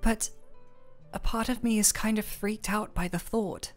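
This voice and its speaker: A young woman playing a shy, smitten former coworker in an intimate romantic audio roleplay, speaking softly and haltingly. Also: mid-range voice, fairly even intonation, soft background music, close to an everyday voice. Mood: wistful, hesitant. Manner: shy, halting, soft-spoken.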